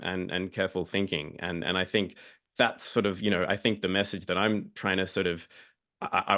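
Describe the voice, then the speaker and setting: A man with a deep voice speaks as a panelist in an informal discussion. He explains hesitantly, often repeating words as he goes. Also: a bit bright.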